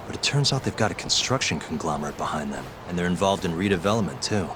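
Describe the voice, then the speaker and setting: A man's voice: low-pitched, lively intonation, quick pace. A man playing a cool, wry detective in his thirties in a video game, with dry, understated, casual delivery. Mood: pensive. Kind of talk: naturalistic video game dialogue. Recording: clear city street noise behind.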